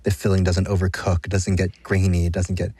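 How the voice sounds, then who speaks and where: A man's voice: low voice, completely flat delivery, brisk, dark tone, husky, dry recording. A man hosting a food radio show, interviewing guests in a friendly casual way.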